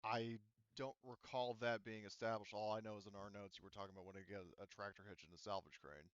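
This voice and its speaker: Low, clear-voiced man running a game. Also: fairly even intonation, quick pace, dry recording, dull audio. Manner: hesitant, thinking aloud. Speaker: game master. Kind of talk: casual tabletop game narration and rulings.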